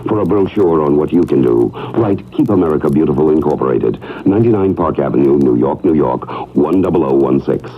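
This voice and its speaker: A man with a gravelly voice reading a scripted 1970s radio public service announcement. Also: extremely low pitch, lively intonation, a little fast, hardly any pauses, phone-quality sound. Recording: thin band-limited 1970s radio recording.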